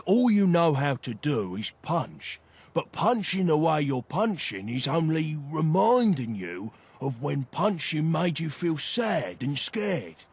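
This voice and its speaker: A man's voice: medium pitch, gravelly, breathy edge, heavily acted. A man playing an insecure, earnest young brawler in a video game, speaking plainly and hesitantly, stumbling over his words. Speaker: video game companion character. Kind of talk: game voice acting, halting heartfelt dialogue.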